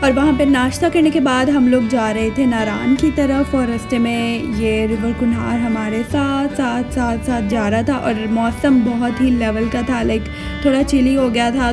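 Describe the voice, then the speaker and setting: A woman's voice: on the high side, fairly even intonation, moderate pace, few pauses. A young woman with a Pakistani accent narrating her trip in a casual, chatty voice-over that mixes in English phrases. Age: young adult. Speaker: travel vlogger. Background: music.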